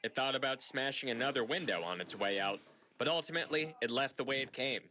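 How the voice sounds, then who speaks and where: A man's voice: mid-range voice, fast talker, sharp tone, a little hiss or hum. A man reading a scripted TV news feature with a playful, punning delivery. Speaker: news reporter.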